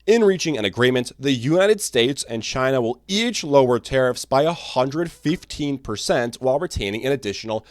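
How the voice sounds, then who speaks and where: Animated man, a little raspy. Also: medium pitch, moderate pace, hardly any pauses, no room sound. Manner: energetic, animated, restarts and fillers. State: slightly raspy from talking all day. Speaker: crypto channel host. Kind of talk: casual market commentary to camera.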